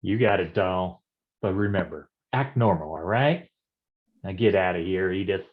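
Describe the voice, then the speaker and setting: A man's voice: low voice, normal speed, phone-quality sound, lightly acted. An actor playing a wisecracking, down-at-heel noir private detective in a stage reading, with slangy tough-guy talk. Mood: brusque. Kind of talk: noir stage acting with narrated monologue.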